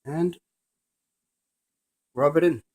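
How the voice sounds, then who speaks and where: Higher-pitched man demonstrating a gadget. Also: drawn-out words, darkish tone. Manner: talks in short fragments, narrating each step. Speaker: presenter of a gadget review. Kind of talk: casual hands-on product demonstration.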